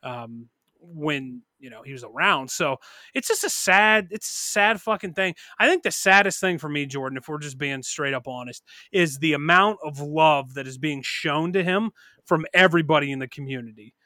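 Podcast co-host, early 30s man, bright forceful tone, dry close sound, rambling and profane. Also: a little high, moderate pace, dry recording. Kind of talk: casual podcast chat.

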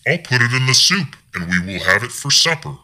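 A man's voice: medium pitch, very animated, a little fast, bright, forceful tone, pitched above the performer's own voice. A man voicing a cheerful mother planning supper.

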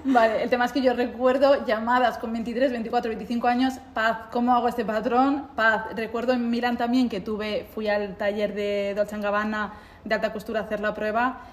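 A young adult woman with a Spanish accent touched by Italian, telling animated stories as an interview guest. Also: fairly high pitch, fairly quick. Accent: Spanish with Italian mixed in. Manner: animated storytelling, acts out quoted voices. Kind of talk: casual conversational interview. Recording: echoey room, faint background noise.

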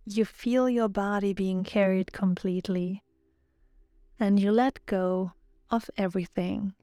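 A woman narrating a guided relaxation meditation in a calm, soothing, measured way, as a yoga nidra guide. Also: mid-range voice, smooth, dry recording, clean studio sound. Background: background music.

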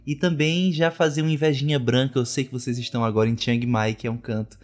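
A man's voice: medium pitch, steady tone, quick pace. A man hosting a podcast who welcomes his guests in an effusive, chatty way. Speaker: podcast host. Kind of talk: podcast interview.

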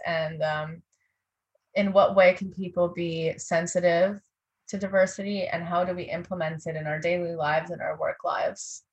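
A woman's voice: lowish voice, muffled recording. A woman hosting a podcast interview, thoughtful and a little hesitant, with lots of fillers. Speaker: podcast host.

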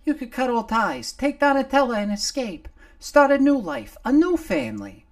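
A man voicing a small, scrappy male sidekick who wheedles and pleads in a high, squeaky voice. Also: sing-song, normal speed. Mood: pleading.

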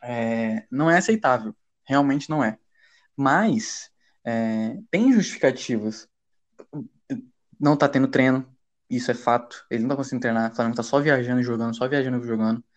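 A man from Brazil hosting a casual football podcast, talking in heavy slang with frequent swearing and rising to loud, agitated rants. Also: medium pitch, brisk, muffled recording. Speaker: podcast host. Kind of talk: heated casual football chat.

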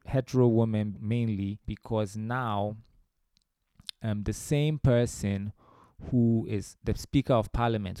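A man with a Ghanaian accent talks as a guest in a conversational interview. His voice is on the low side and very mellow, recorded close and dry. He explains things in a discursive, anecdotal way. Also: dry recording. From Ghana, born in Romania. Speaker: podcast guest, musician.

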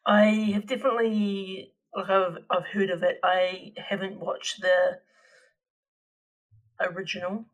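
A man speaking in an even, flat tone as co-host of a casual podcast. He is relaxed and a little hesitant, pausing and restarting as he talks about what he has been watching. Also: high voice. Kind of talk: casual chat.

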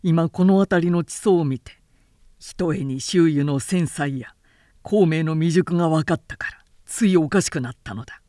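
A woman's voice: deep voice, normal speed, warm tone, dry recording, clean studio sound, natural voice. A woman voicing a proud, weary male warlord in a storybook reading, curt and authoritative in archaic lordly speech. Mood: amused scorn.

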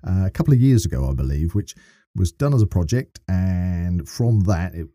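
A man's voice: deep voice, animated, normal speed, warm tone, no echo, clean studio sound. A man co-hosting a podcast in casual chat, with theatrical emphasis. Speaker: podcast co-host.

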